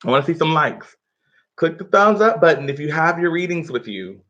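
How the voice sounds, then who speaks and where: A man's voice: on the high side, very animated, a little fast, pushed and bright. A man teaching a live online reading lesson from his living room, speaking instructively and often checking in with his pupils. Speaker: schoolteacher. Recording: dull, lo-fi livestream audio.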